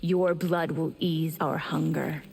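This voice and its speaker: A menacing vampire creature from a fantasy game. Her cold voice is fairly low and unhurried. It rings with long hall reverb. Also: fairly even intonation, creaky, a little mellow, breathy edge, heavily acted. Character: menacing, hungry female harpy-like vampire. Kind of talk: video game dramatic acting.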